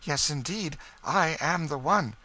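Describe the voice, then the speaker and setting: Man voicing a high, eager, refined woman. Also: unhurried, bright, forceful tone. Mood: earnest. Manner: eager, earnest, urgent. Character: proud emotional refined woman, eager for news of lost brother. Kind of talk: audiobook character dialogue.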